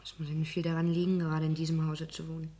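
Casting: reserved, curt housewife, lower register, practical and guarded, vintage radio play style. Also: female voice, completely flat delivery, quick pace, dark tone. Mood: wary, curious. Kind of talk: 1960s German radio drama acting.

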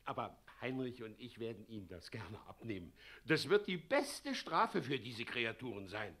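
An actor playing a pompous, blustering, self-serving town mayor in a classic German radio play. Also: male voice, mid-range voice, big pitch swings, normal speed, fairly bright tone. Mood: slyly persuasive.

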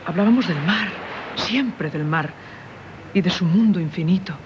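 A woman's voice: on the low side, measured pace, natural voice. An actress with a Castilian Spanish accent playing a tormented married woman haunted by a former lover, in solemn, confessional radio theatre style. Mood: wistful. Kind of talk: 1970s radio theatre acting. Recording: dull, noisy 1970s radio recording.

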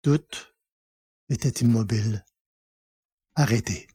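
A man narrating a literary story in French audio fiction, deliberately, pausing between short phrases. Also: lowish voice, warm tone, slightly rough, no echo, clean studio sound.

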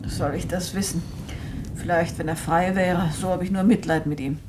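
An actress playing a reserved, disapproving woman novelist in a radio drama, cool and measured. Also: on the low side, fairly even intonation, a little mellow, a little hiss or hum, lightly acted. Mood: thoughtful, pitying. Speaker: radio play actor. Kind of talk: German radio drama acting, restrained.

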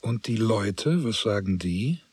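A man narrating a literary German radio drama in measured, fragmentary phrases. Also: lowish voice, expressive, darkish tone, husky, no room sound.

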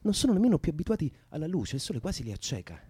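Radio drama: young man, imaginative, soothing and coaxing, very animated intonation, husky edge. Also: fairly high pitch, rapid-fire, heavily acted. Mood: absorbed storytelling. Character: young man soothing a distressed woman with invented stories. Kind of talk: Italian radio drama acting.

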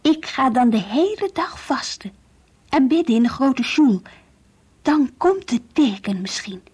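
Earnest, high-voiced devout Dutch teenage girl. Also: animated, a little slow, darkish tone, slightly rough, heavily acted. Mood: dreamy, confiding. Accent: Netherlandic Dutch. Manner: earnest, dreamy, stubborn. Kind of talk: Dutch radio play acting, diary monologue and dialogue. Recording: faintly hissy old radio play recording.

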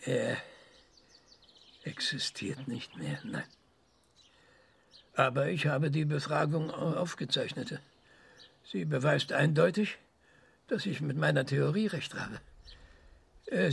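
A man playing a self-assured research scientist in a radio drama, lecturing emphatically with dramatic pauses. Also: fairly high pitch, animated, vocal fry, husky, exaggerated voice. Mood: grave, insistent. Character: excitable, self-assured male research scientist giving an interview.

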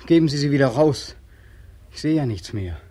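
Husky, haunted war veteran. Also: male voice, mid-range voice, animated, moderate pace, warm tone, no room sound. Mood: weary, bitter. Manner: brooding, anguished, intense. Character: bitter, haunted returned soldier, a lost man. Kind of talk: 1940s German radio drama acting. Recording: old 1940s radio studio recording.

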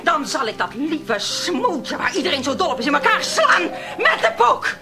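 A woman's voice: sits high, sing-song, moderate pace, music in the background. An actress in a radio play, playing a bitter, lonely woman who resents caring for her brother, with a brittle, intense manner. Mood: furious, threatening. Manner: brittle and intense, mimics others mockingly.

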